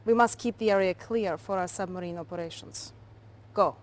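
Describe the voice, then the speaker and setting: An actress playing a cold, cunning Soviet intelligence chief in a game cutscene, clipped and controlled with quiet menace. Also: female voice, lowish voice, normal speed, natural voice. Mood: brisk, commanding. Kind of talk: video game cutscene acting. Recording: noisy 1990s game cutscene audio.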